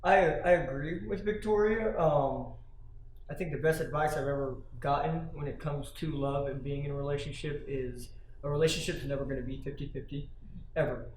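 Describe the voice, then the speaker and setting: A man in his thirties giving earnest, relaxed interview answers, often asking "you know what I mean". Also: a little high, normal speed. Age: 30s. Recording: echoey room with faint noise.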